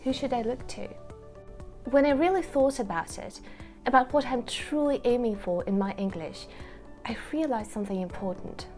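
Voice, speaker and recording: A woman English teacher speaks in an earnest, reflective way, addressing the viewer directly. Her voice sits somewhat high, and soft music plays faintly underneath. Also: lively intonation, normal speed. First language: Russian. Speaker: English teacher, course founder. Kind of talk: scripted promotional talk to camera.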